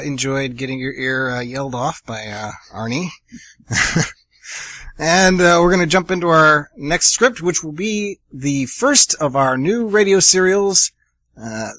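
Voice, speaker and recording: A man's voice: on the high side, animated, moderate pace, sharp tone, no echo. A man hosting an amateur radio theatre show, narrating the story earnestly and chatting between segments with casual fillers.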